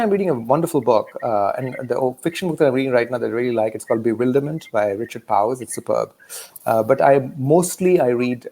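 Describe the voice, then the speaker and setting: A middle-aged man from Pakistan, an author guest who talks in a halting way with many fillers and restarts. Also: mid-range voice, gravelly, darkish tone. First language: a language of Pakistan. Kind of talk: casual interview talk.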